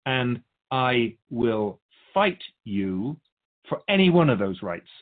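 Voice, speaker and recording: A man plays a wise spirit Keeper in a spiritual talk show, patient and gently chiding. His mid-pitched voice moves with lively intonation as he teaches in measured, philosophical phrases. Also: narrow, tinny audio. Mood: mock self-righteous.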